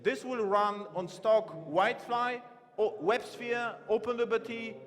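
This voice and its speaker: A man giving a live-coding conference talk from a large stage, halting and correcting himself as he types. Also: very high-pitched, fairly even intonation, a bit bright. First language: not Romanian. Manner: halting, self-correcting, thinks aloud while typing. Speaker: conference speaker. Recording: long reverb of a big hall.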